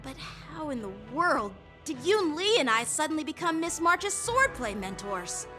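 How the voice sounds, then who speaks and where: A woman's voice: sits high, sing-song, unhurried, over music. A woman voicing a modest, earnest boy swordsman apprentice in a game, speaking respectfully. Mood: bewildered. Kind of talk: game cutscene voice acting.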